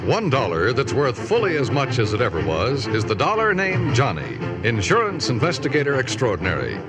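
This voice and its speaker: A man's voice: medium pitch, huge pitch swings, fairly quick. A man announcing a 1950s radio show from a script in a formal showman's delivery. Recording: 1950s radio broadcast recording. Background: loud music.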